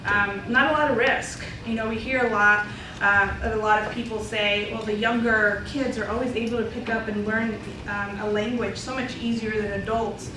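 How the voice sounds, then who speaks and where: A woman's voice: medium pitch, steady tone, moderate pace. Woman speaker in a distant, echoey hall. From Idaho, USA. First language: Spanish. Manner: conversational, many ums. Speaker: panelist, ESL instructor. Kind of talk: personal talk to an audience. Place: college symposium hall. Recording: echoey hall, mic far off, noisy.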